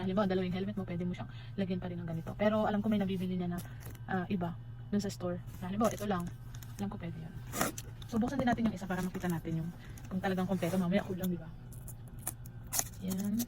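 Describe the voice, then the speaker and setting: A woman's voice: fairly low pitch, rough recording. A Filipino woman vlogger chatting casually to viewers in an unboxing and review style, mixing in English informally. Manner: informal Tagalog-English code-switching.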